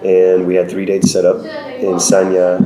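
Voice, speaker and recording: A man's voice: deep voice, completely flat delivery, normal speed, warm tone. A man from Chicago, a band's guitarist and singer, talking casually and rambling, repeating words as he thinks. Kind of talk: casual interview chat. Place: noisy music venue. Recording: roomy venue with crowd noise.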